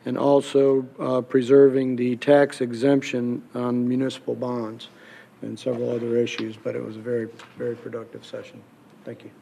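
A man's voice: medium pitch, monotone, moderate pace, creaky, warm tone, slightly rough. A man serving as mayor pro tem, giving a businesslike council report. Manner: listing, businesslike. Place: council chamber.

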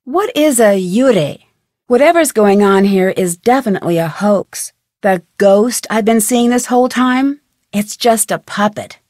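A woman's voice: medium pitch, lively intonation, measured pace, darkish tone, dry recording, clean studio sound, close to an everyday voice. An actress playing a curious, polite and determined young female detective in scripted, conversational video game dialogue. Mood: inquisitive.

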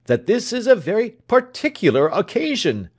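A man voicing a brisk, fussy elderly country doctor who calls out and demands things impatiently in a storybook reading. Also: high voice, wildly swooping pitch, voice pushed up, exaggerated voice. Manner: loud, impatient calling and demanding.

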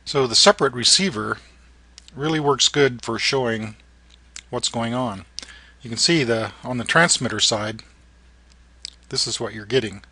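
A man with a bright, forward voice narrates an informal how-to. His phrasing is halting, broken into short pieces, and the sound is dry and close. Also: mid-range voice, slightly rough, no room sound. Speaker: amateur radio operator and narrator.

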